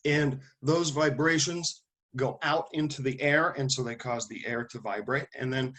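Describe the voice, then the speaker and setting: A man's voice: mid-range voice, fairly even intonation, normal speed, fairly bright tone. Man lecturing a class, thinking aloud. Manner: conversational, thinking aloud with restarts and asides. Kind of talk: classroom lecture with student questions.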